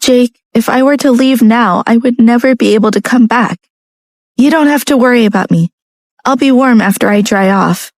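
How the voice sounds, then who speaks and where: A woman voicing a desperate young wife who pleads with her husband through tears, her voice pitched high above her narration. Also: moderate pace, no room sound, studio quality, close to an everyday voice. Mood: pleading.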